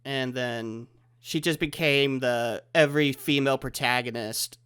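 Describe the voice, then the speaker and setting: A man's voice: mid-range voice, measured pace, a bit bright, smooth. A man hosting a casual podcast chat over the internet. He sounds congested from a head cold and talks eagerly, often trailing off mid-thought. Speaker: podcast host. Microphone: remote recording over the internet.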